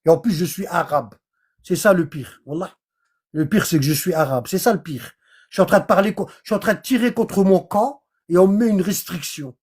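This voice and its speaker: A livestreamer in casual commentary, explaining scripture in an animated way and often checking in with short questions to the listener. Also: mid-range voice, a little fast, husky. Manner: animated, acts out little dialogues, keeps checking in with "hein?" and "d'accord?". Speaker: livestreamer explaining Quran verses.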